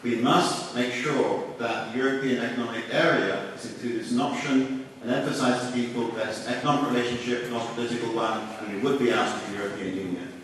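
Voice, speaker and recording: A man's voice: medium pitch, fairly even intonation, fast talker, fairly bright tone, a little hiss or hum. A man, a party leadership candidate, making an earnest and emphatic hustings speech. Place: public meeting hall. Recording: echoey hall from far off.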